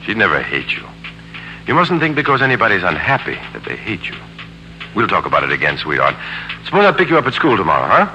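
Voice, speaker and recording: An American actor playing a smooth, sardonic, tormented businessman and father in a 1940s radio drama. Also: lowish voice, huge pitch swings, fast, pushed and bright, heavily acted. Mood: tender, soothing. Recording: humming, noisy 1940s radio recording.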